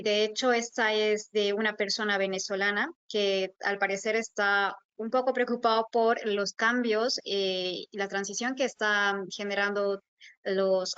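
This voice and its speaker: A woman's voice: medium pitch, fairly bright tone, clean tone, dry recording, lo-fi sound. A woman moderating a webinar, politely reading out audience questions with some hesitation.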